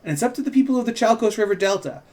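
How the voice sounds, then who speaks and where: A man performs an earnest podcast host with a slightly high voice. The narration is dramatic and theatrical, building in rhetorical phrases like the opening of a true-crime show. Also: lively intonation, very fast, darkish tone. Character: earnest podcast host narrating a small-town murder story.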